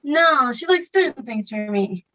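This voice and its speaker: A woman's voice: high voice, animated, unhurried, pushed and bright, lo-fi sound, exaggerated voice. A woman playing a selfish, bullying stepfather in a theatre table read, grumbling and demanding with open contempt. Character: selfish, boorish, bullying stepfather, grown man.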